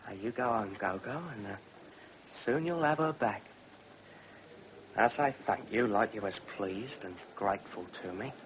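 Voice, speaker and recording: An actor playing a cocky, menacing gangster, taunting and slangy in a radio drama. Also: male voice, lowish voice, a little slow, natural voice. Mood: gloating. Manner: taunting, slangy, mock-friendly, swaggering. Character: cocky, menacing British gangster, freshly acquitted. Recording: muffled, noisy old radio recording.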